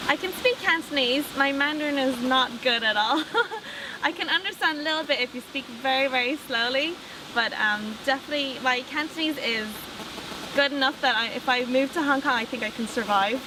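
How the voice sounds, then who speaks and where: A woman's voice: high-pitched, fairly quick. A young woman, speaking thoughtfully in an interview with frequent ums. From Ireland. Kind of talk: casual interview talk. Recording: audible background noise.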